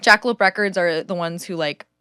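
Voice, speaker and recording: A woman from California with a low alto voice, chatting casually as a guest and laughing often. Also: brisk, sharp tone, smooth, no room sound, clean studio sound. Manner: chatty, many "like"s and frequent laughs. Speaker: singer-songwriter guest. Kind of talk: casual interview chat.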